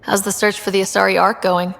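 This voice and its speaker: A woman's voice: mid-range voice, flat delivery, a little fast, creaky, a bit bright. A woman playing an earnest, inquisitive young female explorer in conversational video game dialogue. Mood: curious, friendly. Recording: long reverb, music behind.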